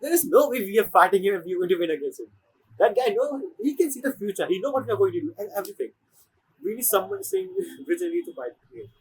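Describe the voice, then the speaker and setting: A man giving casual game reaction commentary, often repeating his phrases in an animated way. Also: sits high, quick pace, slightly rough, rough recording. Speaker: game streamer.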